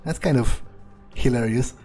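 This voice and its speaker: A man's voice: medium pitch, moderate pace, dark tone, husky. A man giving in-character gameplay commentary, musing aloud in a sardonic manner. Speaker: gamer playing in character. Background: game music.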